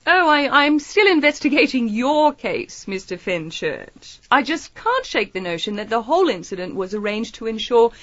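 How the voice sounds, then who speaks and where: A shrewd lady sleuth in a period radio mystery. Her voice sits fairly high and swoops with lively inflection. She is airily polite and disarmingly chatty, recorded close and dry. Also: moderate pace, few pauses, no room sound, dull audio. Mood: slyly probing. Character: shrewd, breezy female amateur detective, feigning innocence. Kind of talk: audio drama acting, period mystery.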